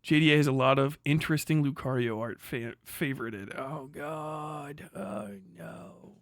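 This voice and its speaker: A man's voice: a little high, a little slow, creaky, no echo, clean studio sound. A young man hosting a casual live stream, reacting with sardonic, profane, incredulous commentary and frequent laughter. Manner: sardonic, swearing, laughing often, incredulous outbursts. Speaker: streamer host.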